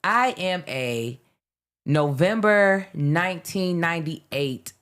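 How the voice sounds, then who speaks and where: Lively woman in her late thirties. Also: lowish voice, slow pace. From South Carolina. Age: 30s. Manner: animated storyteller, laughs often. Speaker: podcast host. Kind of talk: casual chat.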